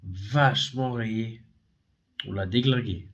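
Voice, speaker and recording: A man's voice: lowish voice, slow, a little hiss or hum. Rambling man with a head cold. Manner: rambling, hesitant with many fillers and self-corrections. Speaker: DVD and Blu-ray collector. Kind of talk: casual unboxing video.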